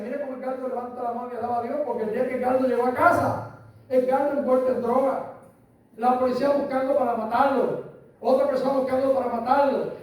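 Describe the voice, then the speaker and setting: Older Puerto Rican preacher, high and mellow. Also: male voice. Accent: Puerto Rican Spanish. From Puerto Rico. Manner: emphatic, repeats phrases, asks listeners "¿entiendes?". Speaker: pastor. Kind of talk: sermon. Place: church hall. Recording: echoey hall, voice from far off, faint room noise.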